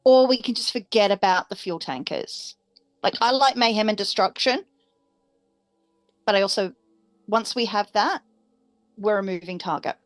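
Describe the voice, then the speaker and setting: A woman's voice: mid-range voice, moderate pace, long pauses, no echo, soft background music. A woman talking strategy casually and decisively as a player in a tabletop game.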